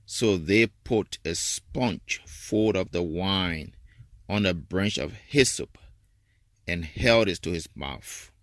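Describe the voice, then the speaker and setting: A man's voice: low-pitched, expressive, takes it slowly, no echo, clean studio sound. A man reading scripture aloud in a solemn, formal style as a reader for a church service.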